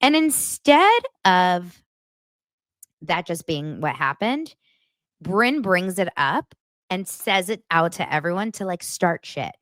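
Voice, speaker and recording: A woman who is a podcast guest and hosts her own daily show, chatting casually and telling gossip stories in an animated way. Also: lowish voice, slow, no room sound. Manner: animated storyteller who acts out quotes.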